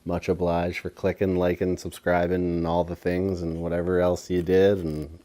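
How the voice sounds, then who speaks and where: A man's voice: low voice, level tone, normal speed, dark tone. A man talking casually to viewers as an eating vlogger, with a laid-back, slangy, playful way of speaking. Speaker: food and lifestyle vlogger.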